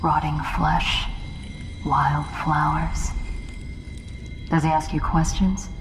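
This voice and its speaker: A flat, sardonic assassin. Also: female voice, sits low, takes it slowly. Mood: dry. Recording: echoey with long reverb, music behind.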